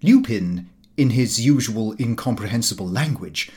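Man, audiobook narration, medium pitch, animated delivery, fussy and self-important diarist tone. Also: normal speed. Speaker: audiobook reader. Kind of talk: scripted narration of a comic diary novel.

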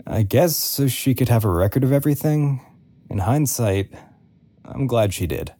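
A man's voice: mid-range voice, expressive, moderate pace, mellow. An actor playing a weary, wry young man who confides his story in a hesitant, conversational audio drama narration.

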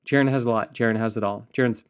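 A man's voice: fairly low pitch, fairly even intonation, fast, smooth. A man hosting a podcast, playful and teasing, with theatrical asides. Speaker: podcast host. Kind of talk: podcast intro and casual interview.

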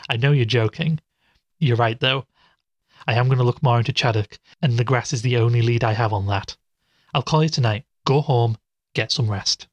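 A man acts as an inquisitive male podcaster in a horror audio drama. He has a mid-pitched voice and tells his investigation in the first person, careful and questioning. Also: moderate pace, no echo, natural voice. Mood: calm, concerned.

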